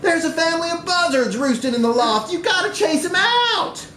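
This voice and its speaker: A man's voice: very high voice, animated, normal speed, sharp tone, voice pushed up, full character voice. A man at a live story reading voicing an irate, scolding, fed-up ex-wife. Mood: furious. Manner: scolding, exclamatory, disgusted. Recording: echoey live room recording with background noise.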